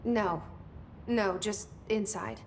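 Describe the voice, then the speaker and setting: A woman's voice: medium pitch, slow pace, a little hiss or hum, close to an everyday voice. A woman as a blunt, wary young newcomer. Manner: short, direct questions, dry.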